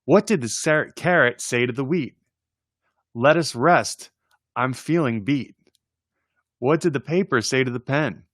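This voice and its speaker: A man's voice: medium pitch, unhurried, pauses often, a bit bright, no room sound. A man reads playful poems for a story time, taking his time over the words. His delivery is informal and chatty, with an amused air, and the sound is close and dry. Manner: informal, chuckling, chatty asides between readings. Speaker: reader presenting children's poems. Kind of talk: casual read-aloud of humorous poems.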